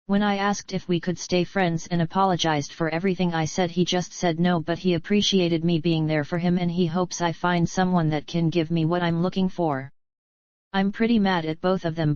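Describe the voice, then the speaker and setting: A woman reading an online post aloud in steady scripted narration. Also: lowish voice, dry recording. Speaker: story reader. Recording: slightly dull lo-fi sound.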